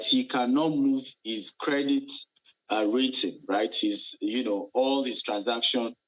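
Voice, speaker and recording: Earnest Nigerian-accented man, bright raspy voice. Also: lowish voice, low-quality recording. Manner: earnest, animated, frequent restarts and fillers. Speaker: entrepreneur guest. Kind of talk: live TV interview, remote. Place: Dubai.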